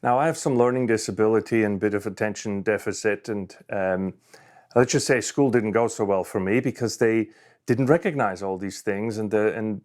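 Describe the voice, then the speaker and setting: A man's voice: lowish voice, a little mellow. A man in his fifties from Switzerland, giving a conversational personal talk at a conference, with frequent fillers and restarts. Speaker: conference speaker.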